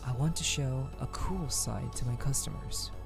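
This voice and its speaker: An actor playing an earnest, conflicted counselor in a story game, speaking in a measured, reflective way. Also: male voice, mid-range voice, creaky, natural voice. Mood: earnest, firm. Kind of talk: voiced game dialogue. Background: background music.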